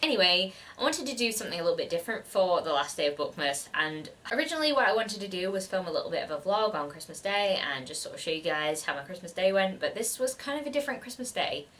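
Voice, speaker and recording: A woman's voice: on the low side, sing-song, fast talker, hardly any pauses, fairly bright tone, far from the mic. A woman with a cold-stuffed nasal voice, chatting casually in a book vlog, rambling and self-deprecating with plenty of fillers. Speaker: book vlogger.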